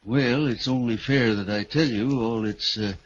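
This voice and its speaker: A man's voice: mid-range voice, close to an everyday voice. A man playing a hesitant, folksy house agent in a 1940s radio drama, on a muffled old recording. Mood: reticent. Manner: halting, drawn-out, reticent. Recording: muffled, faintly noisy vintage radio recording.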